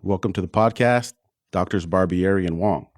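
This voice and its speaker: Male podcast host for medical interviews, lowish pitch, close dry recording. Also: moderate pace, dry recording.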